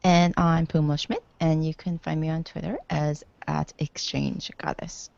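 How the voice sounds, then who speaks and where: A woman's voice: sits low, fairly even intonation, measured pace, creaky, darkish tone, dry recording, muffled recording. A woman co-hosting a casual tech talk show, asking questions in a teasing way and reacting with exclamations. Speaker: podcast co-host. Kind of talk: casual chat.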